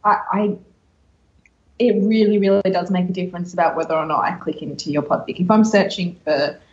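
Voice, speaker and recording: Slightly low-voiced woman, a bit lo-fi. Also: brisk. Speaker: podcast co-host. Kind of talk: casual advice-giving discussion.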